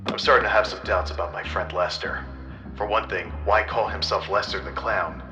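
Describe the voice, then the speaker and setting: A man playing a deadpan, self-assured but clueless private detective in a comic audio drama, narrating in hard-boiled parody style. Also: fairly low pitch, normal speed, far from the mic, natural voice. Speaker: narrator and lead detective character. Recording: echoey room. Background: music.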